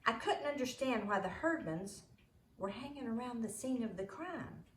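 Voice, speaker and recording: Expressive woman reading aloud, roomy echo. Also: a little high, normal speed, a little hiss or hum. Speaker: parent reading a story aloud. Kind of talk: expressive storybook read-aloud.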